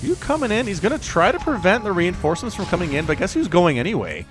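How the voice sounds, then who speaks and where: A man in his thirties giving solo play-by-play commentary on a strategy game match, chatty and excitable with jokey asides. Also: high-pitched, fast. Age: 30s. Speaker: game caster.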